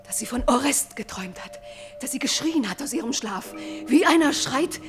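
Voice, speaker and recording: An actress playing a frightened young sister in a theatrical radio tragedy, imploring with urgent, anxious declamation. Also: female voice, high voice, animated, moderate pace, over music.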